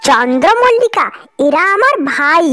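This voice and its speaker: Woman doing an innocent little jungle girl for a cartoon fairy tale, super high voice, music behind. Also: big pitch swings, measured pace, pushed and bright, full character voice. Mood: innocent, curious. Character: young forest girl raised by a tigress, innocent, devoted.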